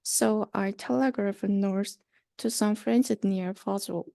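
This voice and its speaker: A woman's voice: medium pitch, fairly even intonation, slow pace, gravelly, warm tone, no room sound. A young woman reading a rhyming children's storybook aloud. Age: young adult. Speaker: student reader.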